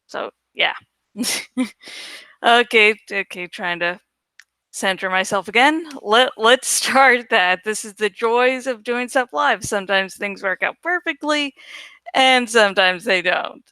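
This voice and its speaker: A woman's voice: on the high side, slow, bright, forceful tone, clean tone, dry recording. A woman chatting casually and informally as host of a live book-reading show. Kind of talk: casual live intro chat.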